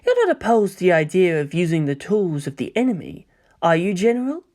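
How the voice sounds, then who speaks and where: A sly young male villain, part angel, speaks through a man's narration in a coy, gentle and mocking way. His lines swing widely in pitch. He muses theatrically, as in a dramatic story reading. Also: huge pitch swings, brisk.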